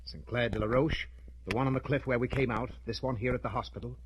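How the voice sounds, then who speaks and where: An actor playing a confident, decisive investigator leading a manhunt in a 1930s radio drama, clipped and authoritative. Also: male voice, medium pitch, fairly even intonation, fast talker, close to an everyday voice. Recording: noisy old radio transcription.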